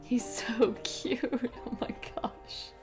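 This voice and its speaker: A woman's voice: on the high side, level tone, extremely slow, soft background music. A young woman hosting a casual crochet tutorial at home, chatty and upbeat, laughing often. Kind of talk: casual step-by-step craft tutorial. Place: home craft room, indoors.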